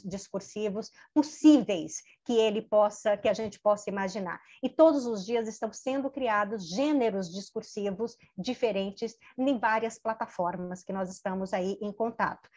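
A middle-aged woman giving an academic lecture over a video call, pausing with fillers and restarting her phrases. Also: on the high side, darkish tone. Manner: hesitant, many fillers and restarts. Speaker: keynote speaker. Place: home office with bookshelves. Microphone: video call webcam. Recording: dull lo-fi video call audio.

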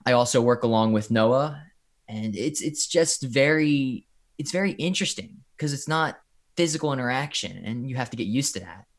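A man's voice: medium pitch, animated, moderate pace. A young man, a student performer, explaining casually in an interview and often rephrasing himself. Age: young adult. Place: bedroom, indoors, on a video call. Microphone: microphone on boom arm, headset.

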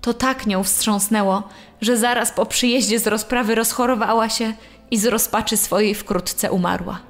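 A woman's voice: fairly high pitch, fairly even intonation, normal speed, soft background music, natural voice. A woman reading a dramatised press quote as a murderer's sorrowful younger sister in a true-crime story.

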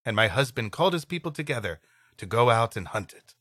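Bright, lively male podcast co-host. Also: medium pitch, fast talker, breathy edge, dry recording, studio quality. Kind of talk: reading an old story aloud, with casual joking banter.

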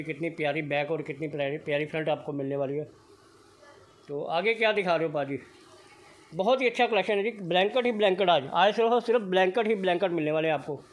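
Upbeat, high-voiced shop vlogger man. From Punjab, India. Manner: upbeat, repetitive hard-sell patter. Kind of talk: excited sales walkthrough. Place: indoor blanket shop.

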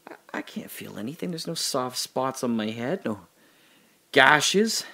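A lost, memoryless prisoner talks himself in circles in an audio drama. He speaks slowly and unhurriedly in a middle-pitched voice, drifting between giddy laughter and near-whispered worry. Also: big pitch swings, gravelly, full character voice. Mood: hushed worry. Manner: rambling, swings from giddy laughter to hushed muttering. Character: confused amnesiac man trapped alone in a hole. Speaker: audio drama lead actor. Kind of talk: solo audio drama monologue.

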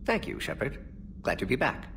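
An actor playing a brisk older alien scientist in a video game, speaking in clipped, clinical sentences without articles. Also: male voice, medium pitch, wildly swooping pitch, vocal fry, recorded from a distance, background noise. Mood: reflective, warm.

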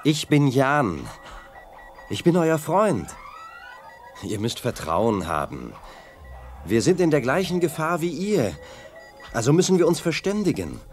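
An actor playing a brave, reassuring young hero in a children's sci-fi radio play, earnest and decisive. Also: male voice, fairly high pitch, very animated, a little slow, pauses often, faint music behind.

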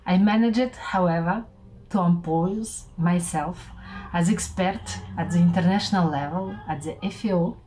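A woman in her forties from Kazakhstan presenting her research project in a careful, halting way, recorded at home on a webcam. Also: on the low side, mellow, far from the mic, a little hiss or hum, low-quality recording. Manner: careful, halting, many "uh" pauses. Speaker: visiting researcher. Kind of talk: prepared self-presentation. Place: home room with bookshelves, indoors.

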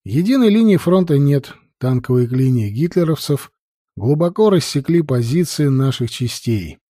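A man's voice: mid-range voice, expressive, normal speed, dark tone, no room sound, studio quality. A man reading a novel aloud as scripted audiobook narration.

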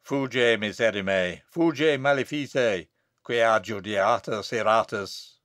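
A man's voice: fairly low pitch, slow, fairly bright tone, no echo, studio quality, voice pushed down. A man voicing a stern, wrathful abbot in solemn, declamatory archaic speech for a storybook reading. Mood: grave. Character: stern, wrathful abbot pronouncing a prophetic curse.

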